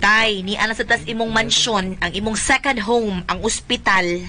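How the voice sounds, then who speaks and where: An actress playing an exasperated, nagging grown daughter in a Bisaya comedy radio drama, with a Filipino accent. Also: female voice, a little high, moderate pace, pushed and bright, soft background music. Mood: weary, complaining. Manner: exasperated, scolding. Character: exasperated, nagging adult daughter of a fretful old father.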